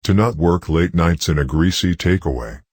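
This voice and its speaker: Man voicing a chatty dead young girl, husky-low. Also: normal speed, a little mellow, dry recording, clean studio sound. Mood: eerie, coaxing.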